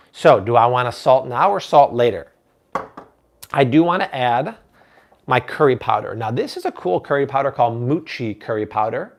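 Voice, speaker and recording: Upbeat man hosting a live cooking stream. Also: medium pitch. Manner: upbeat, chatty, talks to viewers and reads their comments. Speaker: cooking show host. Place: home kitchen.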